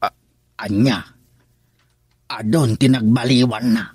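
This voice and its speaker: A man with an Ilocano accent playing a calm, concerned older father in a radio drama, speaking deliberately. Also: medium pitch, breathy edge, dry recording.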